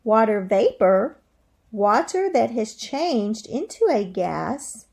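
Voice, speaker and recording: A woman teacher reading aloud to young children in a deliberate, expressive way, thinking aloud and putting questions to her listeners. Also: a little high, creaky.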